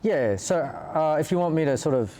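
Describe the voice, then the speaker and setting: A man's voice: on the high side, animated, moderate pace, dark tone. A man from Perth with an Australian accent, telling their story in a casual, informal and slightly rambling way as an interview guest. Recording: clear background ambient noise.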